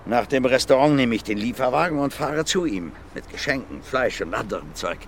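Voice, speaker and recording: A man acting a gruff brawler in his fifties, a suspect in a radio crime drama. His pitch is mid-range but swings widely as he bluntly explains himself, with urban outdoor noise behind him. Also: animated, fairly quick, close to an everyday voice. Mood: defensive, earnest. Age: 50s. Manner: blunt, plain-spoken, repeating himself. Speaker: suspect being questioned.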